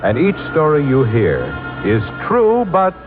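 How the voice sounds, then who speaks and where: Animated old-time male announcer over music. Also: on the high side, a little slow. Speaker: radio announcer. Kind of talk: 1940s-style radio announcing. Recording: dull, band-limited old radio recording.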